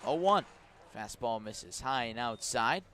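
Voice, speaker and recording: A man doing live radio play-by-play of a baseball game, giving measured calls mixed with explanatory asides and dry understatement. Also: medium pitch, animated, sharp tone. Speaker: baseball play-by-play announcer for a team's radio broadcast. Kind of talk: live radio sports commentary. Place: outdoor ballpark at night.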